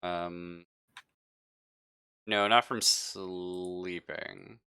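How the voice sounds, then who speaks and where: A man's voice: low-pitched, steady tone, very slow, pushed and bright, smooth. A man casually wrapping up a tabletop game session as game master, slangy and playful.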